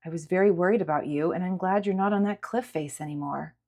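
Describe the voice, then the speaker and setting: A woman voicing a caring, patient woman who reassures with gentle insistence in a dramatic audiobook. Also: fairly low pitch, quick pace. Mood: gently insistent. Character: caring, patient woman comforting an upset girl. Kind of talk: dramatic audiobook character acting.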